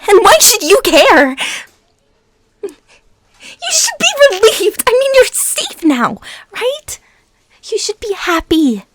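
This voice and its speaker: A woman playing a lovesick, bitter ex-stalker fighting her obsession, in an emotional, halting audio roleplay monologue. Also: very high voice, big pitch swings, dry recording, heavily acted. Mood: agitated, pleading. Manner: halting, emotional, swinging between hushed whispers and outbursts.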